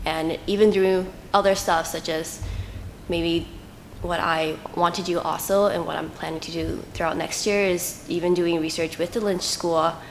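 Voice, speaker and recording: A woman's voice: on the low side, steady tone, moderate pace, echoey room, slight background noise, poor audio. A young woman, a student, giving earnest, thoughtful interview answers and building her points step by step. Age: young adult. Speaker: scholarship finalist.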